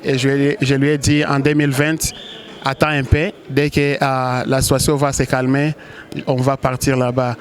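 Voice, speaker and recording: A man from Congo, a football manager, answering interview questions in a halting way with many restarts and pauses. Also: mid-range voice. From Congo (DRC).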